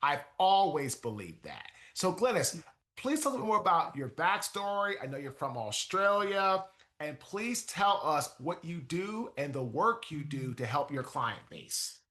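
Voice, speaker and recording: Guy hosting a podcast, kinda high voice, really bright and punchy, motivational. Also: sing-song, a little slow. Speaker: podcast host. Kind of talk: conversational interview.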